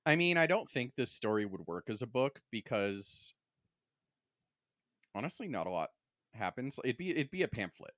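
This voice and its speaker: A man's voice: medium pitch, sing-song, moderate pace, long pauses, fairly bright tone, no room sound, studio quality. A man co-hosting a podcast in casual chat, explaining things and freely giving his opinions. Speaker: podcast co-host.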